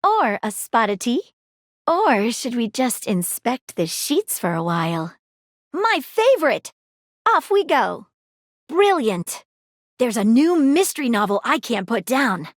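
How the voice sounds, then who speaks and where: A woman's voice: on the high side, big pitch swings, takes it slowly, no echo, clean studio sound, heavily acted. A woman voicing a nosy, flirtatious female magnifying glass detective in a video game, with a playful manner. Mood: flirty. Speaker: dateable game character. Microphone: close studio mic.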